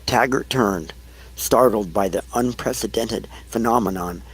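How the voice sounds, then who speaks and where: A man narrating an audio play in an amateur, somewhat halting reading, with a gravelly, husky voice. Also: fairly low pitch, dry recording, rough recording.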